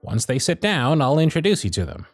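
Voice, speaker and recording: A man playing a gruff but fond elf workshop supervisor in an ASMR roleplay, with a bossy, teasing, sardonic way of talking. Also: on the high side, sing-song, brisk, dry recording, faint music behind. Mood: earnest.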